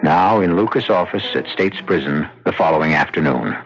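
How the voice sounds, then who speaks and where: A man hosting a radio drama with deliberate, portentous scripted narration. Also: low voice, expressive, muffled recording, over loud music. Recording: noisy, band-limited old radio recording.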